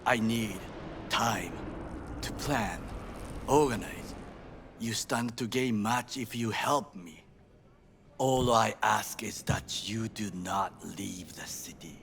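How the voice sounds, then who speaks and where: Gravelly, stern Japanese bodyguard. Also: male voice, fairly low pitch, slow, a bit bright, slight background noise, full character voice. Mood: grave, firm. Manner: formal, clipped, stern phrasing. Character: grave, proud, loyal Japanese ex-bodyguard, middle-aged man. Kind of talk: video game dialogue acting.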